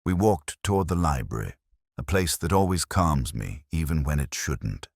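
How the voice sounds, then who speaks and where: Man acting as brooding male detective, extremely low voice, lively pitch movement, measured literary mystery narration, reflective. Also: no room sound, clean studio sound, exaggerated voice. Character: brooding, observant male police detective narrating his case. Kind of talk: scripted first-person mystery narration.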